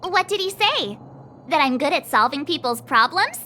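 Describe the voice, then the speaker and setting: A woman voicing a perky, breezy, self-assured teenage girl adventurer in an anime-style video game, chirpy and expressive. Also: high voice, moderate pace, a little hiss or hum, exaggerated voice. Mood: breezy, self-satisfied.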